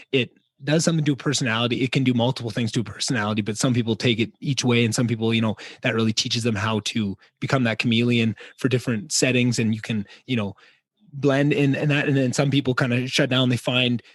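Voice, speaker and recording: A man's voice: mid-range voice, no echo. A man hosting a podcast, asking long, meandering questions full of restarts and fillers. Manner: rambling, lots of restarts and fillers. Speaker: podcast host. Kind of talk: casual interview chat.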